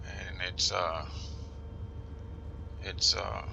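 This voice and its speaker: A man's voice: low-pitched, level tone, gravelly, noisy background. A man with a New Jersey accent giving casual commentary while playing a flight sim, musing aloud and slipping into whispers. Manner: musing aloud, reading instructions slowly, often drops to a whisper.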